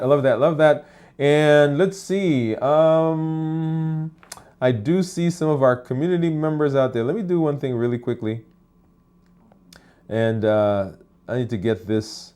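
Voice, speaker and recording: A man's voice: medium pitch, darkish tone, smooth. A man, a physician, hosting a casual live stream from his office, chatting with fillers and restarts while he answers viewer comments.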